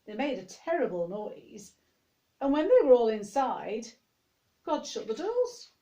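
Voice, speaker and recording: Slow, higher-voiced woman telling a children's story. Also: muffled recording. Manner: expressive, addressing young listeners directly. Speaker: minister reading a story to young children. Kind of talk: children's picture-book storytelling with chatty asides.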